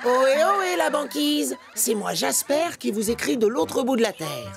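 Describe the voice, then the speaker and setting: An actor voicing a naive, excitable young penguin in a French children's cartoon, exclamatory and expressive. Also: high-pitched, moderate pace, creaky, heavily acted. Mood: cheerful. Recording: music behind the voice. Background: children's cartoon music.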